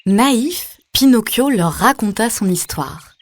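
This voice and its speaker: A woman's voice: a little high, animated, slow pace, dry recording. A woman reading a scripted children's story as a storyteller, putting questions to the young listener. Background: music.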